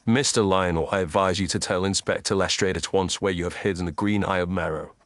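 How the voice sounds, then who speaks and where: A man's voice: sits low, steady tone, brisk, dry recording, close to an everyday voice. A man playing a shrewd, self-assured Victorian detective with a precise, commanding, analytical delivery. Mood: cool, assured.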